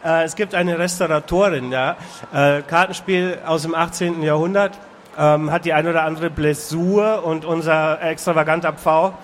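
A man interviewing a guest in casual talk, asking halting questions with many filler sounds. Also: fairly high pitch, steady tone, slight background noise.

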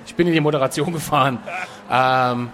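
A man talking casually as a guest in an interview, relaxed and chatty, with frequent filler sounds. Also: mid-range voice, fairly quick. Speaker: author and guest.

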